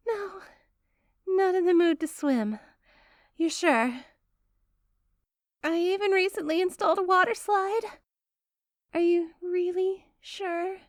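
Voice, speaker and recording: A woman's voice: very high voice, no room sound, studio quality. A woman playing a rich, clingy, possessive young girlfriend who coaxes and gushes sweetly in an ASMR roleplay addressed to the listener. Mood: flustered, insistent. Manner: coaxing, gushing, theatrically sweet, swinging into flustered pleading.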